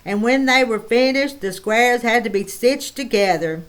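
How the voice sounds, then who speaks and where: A woman narrating a children's novel in first person, with plain, gentle reading. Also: on the high side, expressive, moderate pace. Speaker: audiobook narrator.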